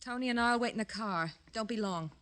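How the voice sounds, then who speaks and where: A woman's voice: on the high side, brisk, a bit bright, lightly acted. A woman playing a controlled, scheming and threatening femme fatale in old-time radio drama style. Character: cool, commanding, scheming female blackmailer with a gun. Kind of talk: 1940s-50s radio drama acting.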